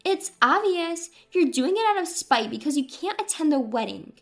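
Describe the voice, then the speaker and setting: A woman's voice: high voice, very animated, normal speed, faint music behind. A woman voicing a spiteful, scornful middle-aged mother who talks in an indignant, accusatory way in a dramatized story. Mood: accusing.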